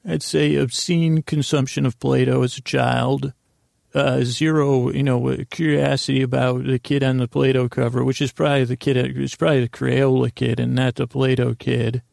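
A man hosting a sleep podcast, talking in a creaky, meandering, self-deprecating monologue full of tangents. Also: mid-range voice, little pitch movement, moderate pace, darkish tone, no echo, studio quality. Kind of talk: rambling monologue.

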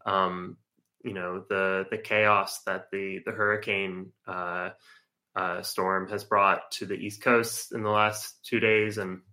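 Man, producer, low voice, bright, halting, hesitant, casual. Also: level tone. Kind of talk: casual chat.

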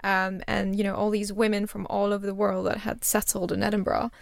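A woman's voice: mid-range voice, level tone, brisk, vocal fry, no echo, clean studio sound. A young woman from Singapore, chatting as an interview guest with lots of fillers and restarts. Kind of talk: casual interview chat.

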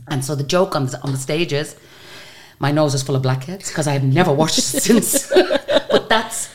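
Irish female singer, guest; very deep, low voice; animated, chatty storyteller. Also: moderate pace. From Ireland. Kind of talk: casual interview storytelling.

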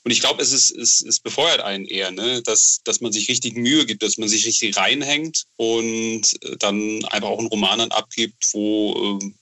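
A man talking casually as an author being interviewed, halting and repeating words, often adding little tag questions. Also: fairly low pitch, steady tone, bright, forceful tone. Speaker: interview guest, novel author.

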